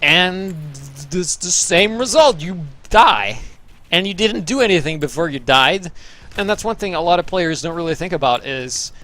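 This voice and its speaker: A man's voice: high-pitched, animated, normal speed, bright, forceful tone. A young man from Norway giving loose, profane, sarcastic commentary over gameplay replays as the show's host. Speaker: game commentator.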